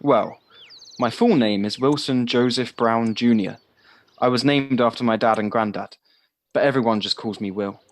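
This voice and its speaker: A man's voice: mid-range voice, moderate pace, natural voice. A man acting a frank, earnest boyish village lad in an audio play, recorded at home. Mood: cheerful. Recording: home recording on personal device, faint noise.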